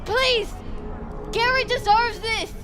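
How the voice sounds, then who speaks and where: An actress playing a fervent, paranoid devotee of a street prophet in a video game, agitated and zealous. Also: female voice, very high-pitched, steady tone, takes it slowly, a crowd in the background, noisy background. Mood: distraught, indignant. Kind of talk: dramatic video game voice acting.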